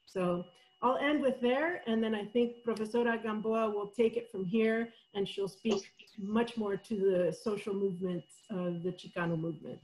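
A woman from El Salvador giving a college webinar talk over a video call, thoughtful and steady, with many fillers and frequent "right?" checks. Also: medium pitch, normal speed, rough recording. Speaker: college professor presenting survey findings. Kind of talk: webinar presentation.

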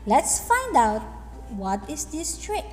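Lady teacher explaining stuff slowly, really animated high voice, echoey with soft music. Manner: deliberate, instructive, with check-in questions. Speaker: English teacher. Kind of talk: explanatory lesson with storybook reading. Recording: echoey hall-like room, faint music behind.

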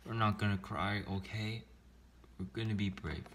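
A man voicing a gentle, loyal police chief in a children's storybook read-aloud, soothing and apologetic. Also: on the low side, steady tone, moderate pace, a little hiss or hum, close to an everyday voice. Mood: sad, tender.

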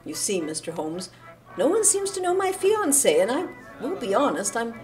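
A woman's voice: fairly high pitch, big pitch swings, normal speed, darkish tone, soft background music. A woman playing an earnest, anxious fiancée in a period audio drama, polite, hesitant and pleading. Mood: earnest, embarrassed. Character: earnest, anxious American fiancée searching for her betrothed.

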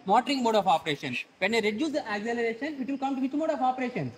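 A man's voice: very high voice, expressive, racing, slight background noise. Indian man teaching in an echoey workshop. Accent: Indian English. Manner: quizzes students with rhetorical questions. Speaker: instructor. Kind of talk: classroom demonstration. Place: large open workshop hall with students.

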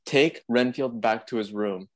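A man's voice: medium pitch, unhurried, close to an everyday voice. A man playing an authoritative, polite asylum doctor in a radio play. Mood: firm.

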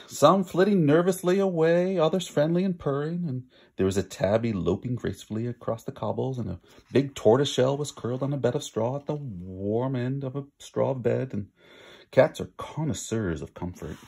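A man reading a storybook aloud, sometimes pausing to chat with listeners. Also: mid-range voice, animated, vocal fry, a little mellow, slightly rough. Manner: pauses to chat with listeners and explain words. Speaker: storybook reader. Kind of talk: animated read-aloud storytelling.

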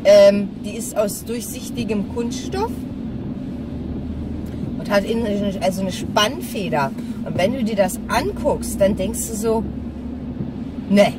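A woman's voice: mid-range voice, slow pace, pauses often. A woman, chatting casually and candidly to camera, rambling as she thinks aloud. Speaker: vlogger. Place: inside a car. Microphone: dashboard-mounted camera or phone. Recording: noisy car interior, some distance from mic.

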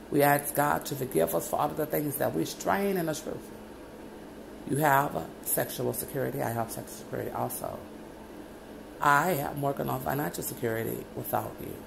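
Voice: mid-range voice, gravelly, noisy background. A speaker praying aloud in a long, wandering prayer, calling out "Lord God" again and again between loose phrases. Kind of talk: rambling spoken prayer.

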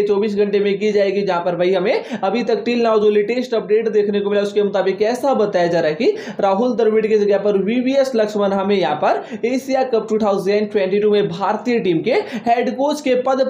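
Young male news presenter, high mellow voice, emphatic and gesturing, roomy dull studio sound. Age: young adult. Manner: animated hand gestures, emphatic repetition of "जी हां". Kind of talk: fast energetic news roundup. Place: indoor studio with green-screen stadium backdrop.

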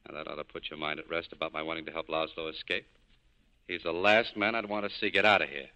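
An actor playing a cool, cynical nightclub owner in clipped, hard-boiled 1940s radio style. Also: male voice, extremely low pitch, fairly even intonation, fast talker, lo-fi sound. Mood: cool, firm. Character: tough, cool cynical male nightclub owner scheming an escape. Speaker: lead actor in a radio drama.

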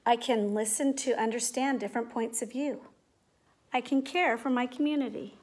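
A middle-aged woman teacher speaking in simple, clear phrases to young children, as in a read-aloud or classroom demonstration. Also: fairly high pitch, steady tone, normal speed, noisy background. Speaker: teacher making videos for pupils. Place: indoors at home, living room and kitchen. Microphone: device mic at about arm's length.

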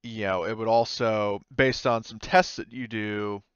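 A man improvising tabletop game narration as game master, explaining in a hesitant, halting way. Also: on the low side, fairly even intonation, clean tone, no echo, highs cut off.

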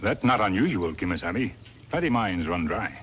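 An actor playing a loyal, stoic western sidekick in a 1950s radio drama, speaking terse, emphatic broken English. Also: male voice, sits low, sing-song, normal speed, a bit bright. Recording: noisy vintage 1950s radio recording.

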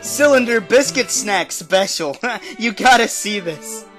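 A woman's voice: mid-range voice, animated, measured pace, a bit bright, soft background music. A woman voicing a teasing, mischievous teenage schoolboy with a mocking drawl, in a manga fan dub. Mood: amused, mocking.

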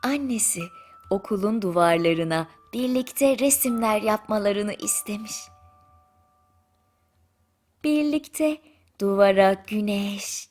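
A woman narrating a bedtime story in a soothing, gentle manner with drawn-out pauses. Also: on the high side. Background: music.

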